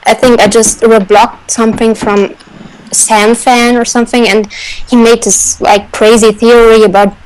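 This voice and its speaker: A woman's voice: on the high side, level tone, measured pace, slight background noise, rough recording. A woman talking casually and emphatically as a panelist in a fan discussion. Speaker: radio show panelist.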